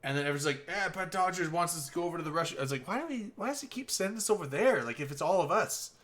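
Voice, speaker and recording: A man's voice: on the high side, animated, very fast, fairly bright tone. A man co-hosting a casual gaming podcast, rambling through a story and swearing casually.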